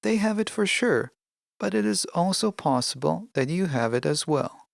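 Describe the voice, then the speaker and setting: A podcast host giving scripted self-help narration in a measured, deliberate, instructional way. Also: mid-range voice, animated, creaky, dry recording, clean studio sound.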